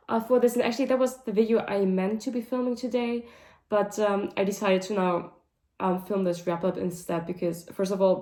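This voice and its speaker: Hoarse woman rambling in a roomy space. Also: mid-range voice, mellow. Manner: rambling, full of "like" and "um" fillers. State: hoarse, voice sounding deep and raspy. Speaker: book vlogger. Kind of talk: casual monthly reading wrap-up chat. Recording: roomy echo.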